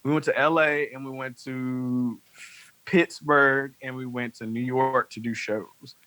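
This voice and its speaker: A man in his late twenties, a podcast guest, telling stories in a rambling, animated way, full of "like". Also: mid-range voice, a little slow, dry recording, low-quality recording. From North Carolina. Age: 20s. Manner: rambling, heavy use of "like", animated storytelling. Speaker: podcast guest, music manager. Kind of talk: casual chat.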